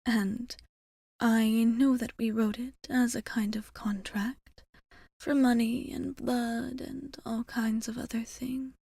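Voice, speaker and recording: A woman playing a tender, old-souled vampire bartender in a whispered ASMR roleplay, speaking softly, deliberately and a little formally, with affection. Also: a little high, flat delivery, no room sound, heavily acted. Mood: tender, confessing. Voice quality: soft, breathy whisper.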